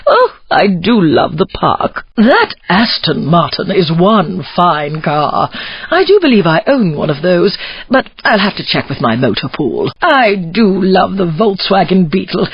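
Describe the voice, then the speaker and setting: A woman's voice: fairly low pitch, sing-song, unhurried, husky, no room sound, lo-fi sound, exaggerated voice. A woman voicing a genteel older upper-class English grandmother in a video game, prim, plummy and condescending. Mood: haughty, fond. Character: genteel, posh old English grandmother, grand and quaintly cheerful. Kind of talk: scripted video game character quips.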